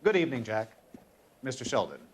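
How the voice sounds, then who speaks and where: A man's voice: medium pitch, very animated, fairly quick, lightly acted. Man playing an urbane boss, noisy recording. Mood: polite, casual. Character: polite, urbane male superior. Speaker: actor in a video game cutscene. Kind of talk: dramatic game acting. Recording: clear noise, clicking behind voice.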